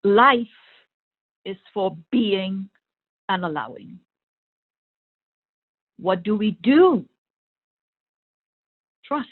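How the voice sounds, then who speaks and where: Slow, pausing woman on a phone-like line. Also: medium pitch, animated. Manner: deliberate, halting short phrases with long pauses. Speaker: meditation coach and host. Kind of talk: spiritual talk. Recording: thin phone-line sound.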